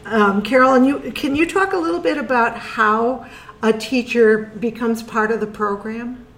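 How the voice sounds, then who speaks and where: A woman's voice: a little high, moderate pace, a bit bright. A woman hosting an interview, enthusiastic as she introduces guests and asks questions. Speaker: host.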